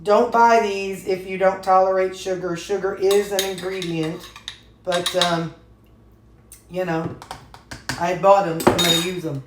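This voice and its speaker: A woman's voice: fairly low pitch, steady tone, a little slow, pauses often. A woman talks her viewers through a meal in her home kitchen, chatty and folksy. The kitchen gives her voice a roomy echo, and a little noise hums in the background. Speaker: vlogger. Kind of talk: casual cooking vlog chat. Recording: echoey kitchen with faint noise.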